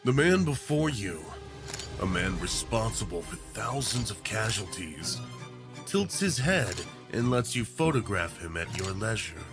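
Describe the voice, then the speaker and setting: A man reading scripted second-person story narration in a measured, hushed storytelling manner. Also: on the low side, big pitch swings, over music.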